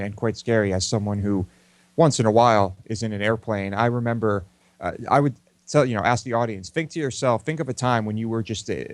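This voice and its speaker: Male co-host, lower pitch, loose conversational delivery, dry studio sound. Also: no echo. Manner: loose, conversational, hesitant with fillers. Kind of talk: casual talk show banter.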